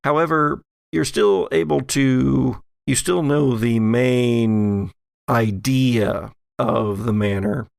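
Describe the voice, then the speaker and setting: A man narrating a tabletop horror game as game master, in a measured, halting storytelling manner. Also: mid-range voice, vocal fry, dry recording, clean studio sound.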